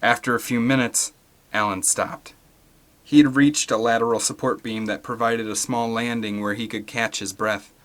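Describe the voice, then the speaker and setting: A man's voice: mid-range voice, steady tone, normal speed, a bit bright. A man reading an adventure story aloud as scripted, dramatic audiobook narration. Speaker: audiobook reader.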